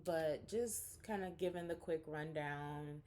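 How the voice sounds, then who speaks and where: A woman in her forties talks to her vlog audience from home in a candid, rambling, chatty way. Her voice sits low, and a faint room hum runs underneath. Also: slow pace, mellow. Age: 40s. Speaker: vlogger. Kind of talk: casual catch-up vlog.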